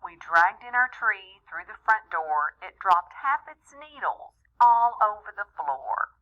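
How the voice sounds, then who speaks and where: A woman reading a rhyming children's story aloud in an expressive, deliberate way, as a storyteller talking to young listeners. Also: medium pitch, muffled recording. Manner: animated, deliberate, with small asides to young listeners.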